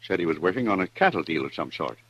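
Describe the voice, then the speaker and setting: A fussy, prim banker. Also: male voice, sits low, very animated, quick pace, a bit bright, breathy edge, no room sound, full character voice. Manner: prim, nervous, overly formal. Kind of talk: 1950s radio drama acting. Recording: dull, dry, lo-fi old radio recording.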